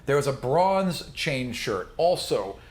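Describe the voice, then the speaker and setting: Man, game master, tabletop narration, descriptive, informal, off-the-cuff, mid-pitched. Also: sing-song, slow pace. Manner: descriptive, informal, off-the-cuff with casual asides and swearing.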